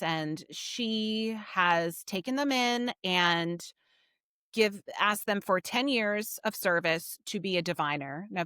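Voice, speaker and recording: Bright, clear-voiced woman podcast host. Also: lowish voice, no room sound, studio quality. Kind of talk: casual book discussion and plot recap.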